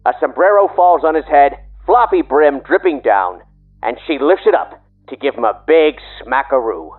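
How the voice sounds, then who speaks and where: A man's voice: mid-range voice, animated, moderate pace, phone-quality sound. A man playing a courteous, easily flustered old-time radio announcer, folksy and formal, through a vintage radio filter. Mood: excited narrating. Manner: folksy, formal, hesitant when interrupted. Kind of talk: 1930s radio announcing acting. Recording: thin band-limited old-time radio sound.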